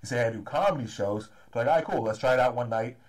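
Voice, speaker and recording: A man in his thirties from the Los Angeles area, a promoter chatting eagerly as an interview guest, often tacking "man" onto his sentences. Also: medium pitch. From Los Angeles area, California. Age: 30s. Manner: fast, energetic, repeats "man" often. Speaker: comedy and hip-hop promoter, guest. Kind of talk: casual interview chat.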